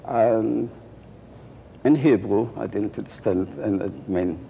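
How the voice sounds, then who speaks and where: Slow older man. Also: on the low side, vocal fry, mellow, lo-fi sound. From Poland. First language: Yiddish. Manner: slow, halting, with frequent pauses and restarts. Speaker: interviewee. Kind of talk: recorded oral-history reminiscence. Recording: roomy archival tape with faint hiss.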